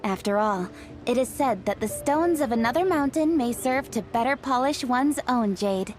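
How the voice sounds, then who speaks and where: An actress playing an earnest young official in a video game, speaking in a measured, explanatory way. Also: female voice, on the high side, lively intonation, a little mellow, soft background music, lightly acted. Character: young official woman, earnest, proud of tradition.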